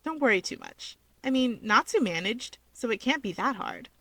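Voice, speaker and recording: Storybook young wizard girl, voiced by a woman reader: higher-pitched, perky, earnest, playful and quick with a tease. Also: very animated, sharp tone, dry recording, exaggerated voice. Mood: encouraging. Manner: playful, quick-witted, encouraging. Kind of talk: fan fiction character reading.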